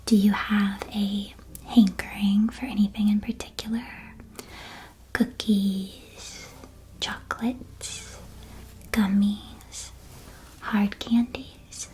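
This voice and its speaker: A woman playing a cheerful, welcoming witch who keeps a magical sweet shop, in a hushed, gently enthusiastic ASMR roleplay. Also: medium pitch, little pitch movement, slow, pauses often, close to an everyday voice. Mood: warm, welcoming. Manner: soft-spoken, hushed, gently enthusiastic sales patter.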